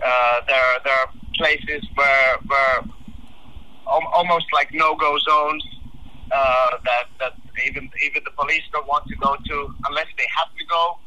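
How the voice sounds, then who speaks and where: Bright-voiced man on a phone line. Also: mid-range voice, steady tone. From Finland. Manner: halting, repeats words often. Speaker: guest commentator. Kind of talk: radio interview.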